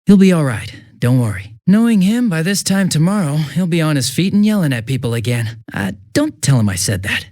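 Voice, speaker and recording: A man voicing a young police detective in a video game, affable and easily flustered, with a casual, hesitant and excitable way of talking. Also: a little high, a little fast, hardly any pauses, no echo, clean studio sound. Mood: friendly, reassuring. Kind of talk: English video game voice acting.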